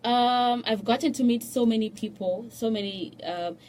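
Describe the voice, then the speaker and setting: A young woman from Zimbabwe who is a musician, chatting as a guest in a radio studio and telling stories with restarts and soft laughs. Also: fairly high pitch, moderate pace, slight background noise. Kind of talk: casual radio interview chat.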